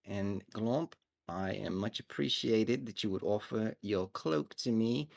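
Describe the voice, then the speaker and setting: A man's voice: lowish voice, a little slow, creaky. A man playing in a tabletop game, speaking in character in a playful, formal way while losing his voice. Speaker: player.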